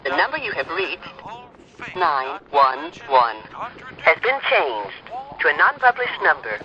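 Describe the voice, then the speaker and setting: A man's voice: on the high side, big pitch swings, measured pace, over a noisy crowd. A man voicing an impersonal automated telephone message in a cartoon, reciting it officially. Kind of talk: recorded phone message in cartoon. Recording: dull, band-limited phone message.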